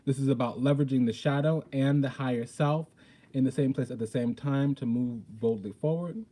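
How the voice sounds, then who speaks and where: Bright-voiced, sassy man reading tarot. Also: medium pitch, brisk. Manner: blunt, sassy, profane. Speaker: tarot reader, host. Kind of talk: informal spiritual tarot reading.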